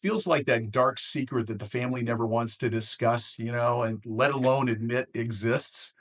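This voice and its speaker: A man hosting a podcast interview, speaking conversationally in measured phrases and leaning on key words. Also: mid-range voice, fairly even intonation.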